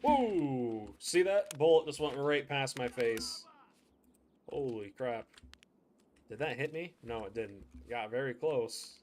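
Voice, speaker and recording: A man's voice: mid-range voice, expressive, slow pace, a little mellow, a little hiss or hum. Casual man commentating his gameplay. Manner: casual, chatty, thinks aloud while playing. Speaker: streamer.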